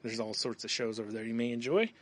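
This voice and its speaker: A man's voice: mid-range voice, quick pace. An American man hosting a podcast in a casual, loose, rambling way. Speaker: podcast host. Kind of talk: casual chat, show plugs.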